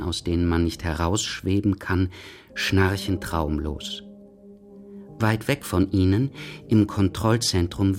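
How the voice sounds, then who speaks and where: A man with a very deep voice narrates a radio drama. His delivery is scripted and literary, measured like a storyteller's.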